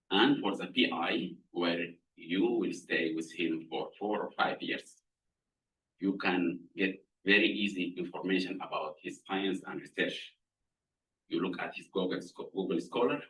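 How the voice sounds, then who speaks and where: A middle-aged man from Sudan, speaking, gives an earnest, measured lab introduction as a professor, pausing to find words. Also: low voice, fairly bright tone. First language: Arabic. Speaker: professor presenting his lab. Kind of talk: prepared lab introduction talk. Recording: voice somewhat far from mic.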